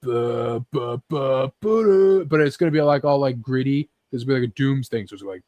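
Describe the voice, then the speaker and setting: A man with a mid-pitched voice leads a loose geek-culture chat in a dry, close recording. He rambles, swears freely and slips into acting out small imagined scenes. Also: animated, moderate pace, mellow, dry recording, muffled recording. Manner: rambling, acts out imagined scenes with sound effects. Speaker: host leading a group chat about games and films.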